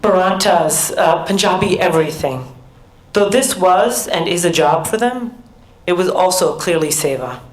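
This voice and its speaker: A middle-aged American woman giving an earnest, measured personal talk as a conference speaker, with small restarts. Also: fairly low pitch, expressive. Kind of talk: personal talk at a research summit. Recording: echoey hall.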